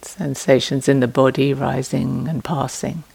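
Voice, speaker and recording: Gravelly, slow-speaking woman guiding meditation. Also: a bass voice, steady tone. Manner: gentle, unhurried, long pauses between phrases. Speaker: meditation teacher. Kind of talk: guided meditation instruction.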